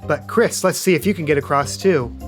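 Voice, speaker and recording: A man's voice: on the high side, brisk, soft background music. A man narrating an improvised tabletop game as game master, casual and playful in manner.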